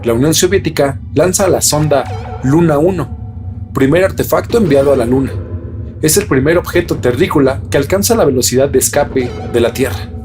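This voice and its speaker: A man. His phrasing is deliberate and dramatic, and soft music sits behind him. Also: a little high. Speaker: podcast host. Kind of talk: scripted mystery storytelling narration.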